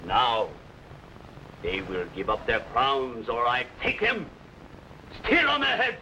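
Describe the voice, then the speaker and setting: An actor playing a ruthless, boastful barbarian warlord in a 1950s TV serial, speaking with grand, menacing pauses. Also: male voice, medium pitch, huge pitch swings, sharp tone, slight background noise, exaggerated voice, low-quality recording.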